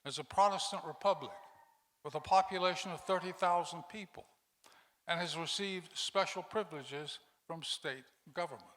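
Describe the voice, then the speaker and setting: A man reading measured prepared remarks at a panel, with small stumbles. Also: fairly high pitch, a bit bright. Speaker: panel speaker. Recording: long reverb of a hall.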